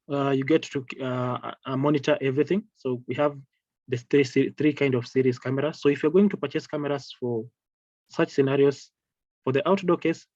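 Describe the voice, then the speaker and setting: A man's voice: medium pitch, a little mellow, no room sound. Hesitant male product trainer, dull audio. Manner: halting, repetitive, hesitant delivery. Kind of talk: informal slide presentation of products. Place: online video call with screen-shared slides.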